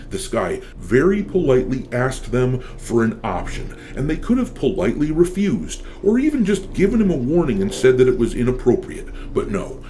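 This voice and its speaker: A man's voice: medium pitch, warm tone, a little hiss or hum. A man giving opinionated gaming commentary as a channel host, blunt and sardonic, swearing casually.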